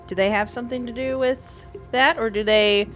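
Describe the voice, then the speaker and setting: A woman's voice: fairly high pitch, fairly even intonation, normal speed, a bit bright, soft background music. A woman playing a tabletop game, asking questions casually.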